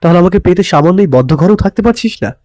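A man's voice: high voice, expressive, quick pace, darkish tone, no echo. A man playing an intense, possessive young lover in a romantic audio story, pleading dramatically and mixing English words into his speech. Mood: reproachful, earnest.

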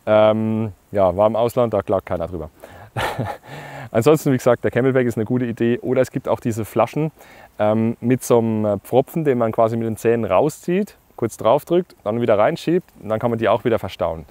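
A man in his thirties from Germany, a paragliding instructor giving relaxed, chatty tips straight to camera with lots of fillers, recorded outdoors on a lapel mic in a meadow. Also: on the low side, a little fast, no room sound. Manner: relaxed, chatty, frequent fillers, addresses viewers directly. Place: grassy meadow outdoors, hills behind.

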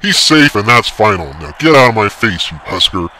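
A man voicing a stern, blunt small-town sheriff who umpires, barking his lines loudly. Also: mid-range voice, lively intonation, moderate pace. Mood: angry. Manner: loud, barking.

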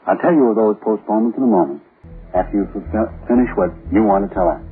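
A man with a husky voice hosting an old radio drama series, speaking as its writer. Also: lowish voice, quick pace, faint music behind. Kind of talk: 1940s radio host talk. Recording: muffled, thin old radio recording.

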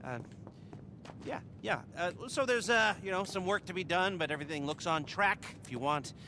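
A man's voice: high-pitched, normal speed, background noise. An actor playing a nervous, awkward middle-aged hacker in a video game cutscene, stammering and fumbling his words. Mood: wry. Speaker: heist planner character.

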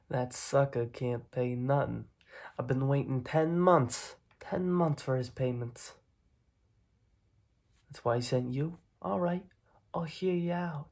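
A young man voicing a gruff, dismissive, streetwise mob boss in a soft-spoken ASMR roleplay, breathy and clipped. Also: mid-range voice. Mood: scornful, grudging. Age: young adult. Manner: slow, clipped tough-guy talk with repeated phrases. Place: small dim room at home, indoors.

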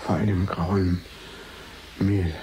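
An actor playing a tormented painter in a radio drama, speaking in halting, anguished phrases. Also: male voice, deep voice, level tone. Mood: haunted, dread. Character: tormented, haunted male painter plagued by nightmares. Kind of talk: 1980s German radio drama acting. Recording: clear background noise.

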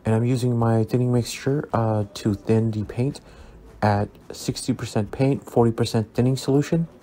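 A man narrating a scale model build as a relaxed tutorial, easygoing and full of ums and uhs as he recommends each step. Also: mid-range voice, moderate pace. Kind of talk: relaxed instructional voice-over. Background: soft background music.

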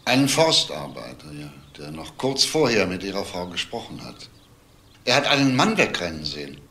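An actor playing a composed, grave male police detective in a crime drama, speaking in a measured, understated way. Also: deep voice, very animated, vocal fry, pushed and bright, slightly rough, full character voice. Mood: matter-of-fact, probing. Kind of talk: screen crime drama dialogue. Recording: distant mic, noisy background.

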